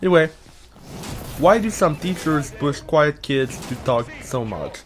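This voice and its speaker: A man's voice: a little high, lively intonation, a little slow, soft background music. A young man with a Quebec French accent, partly Americanized, rambling in a casual vlog full of swearing, "uh" fillers and restarts. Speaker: YouTuber answering Reddit questions.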